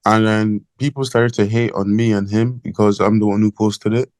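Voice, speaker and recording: A teenage man from Ireland with an Irish accent, calling in as an interview guest over the phone and talking in a hesitant, halting way with many fillers. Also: lowish voice, flat delivery, darkish tone, no echo. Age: teens. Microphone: phone call.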